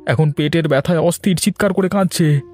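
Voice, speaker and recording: A man's voice: on the high side, brisk, darkish tone, close to an everyday voice. A man playing a sarcastic, grumbling poor farmer and long-suffering husband in a cartoon. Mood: distressed, complaining. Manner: sarcastic, complaining. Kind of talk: Bengali cartoon dialogue. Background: background music.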